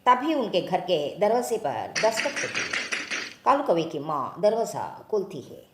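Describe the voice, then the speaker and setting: A woman's voice: medium pitch, big pitch swings, normal speed, echoey room, noisy background. A woman reading scripted narration for a children's-style cartoon story.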